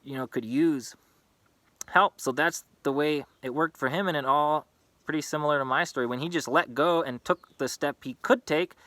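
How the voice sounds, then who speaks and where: A man's voice: on the high side, moderate pace, a bit bright, no echo. A man who grew up in East Africa, talking in an earnest, impassioned, unscripted monologue to his own channel. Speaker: vlogger.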